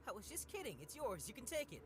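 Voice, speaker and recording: A man playing an excitable, whiny young male cadet in an anime visual novel. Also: very high voice, fast talker. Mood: alarmed. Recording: game music behind the voice.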